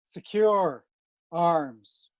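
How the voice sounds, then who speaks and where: Very slow-paced woman calling drill commands. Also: fairly low pitch, expressive, a little mellow, no room sound, muffled recording. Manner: clipped commands with long pauses. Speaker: drill caller.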